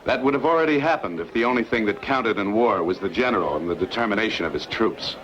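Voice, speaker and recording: A man's voice: fairly low pitch, expressive, fast, a bit bright. A man voicing a proud, generous and commanding Roman soldier hero in a fan-dubbed sword-and-sandal film. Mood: proud, hearty. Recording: muffled dubbed film audio, music behind.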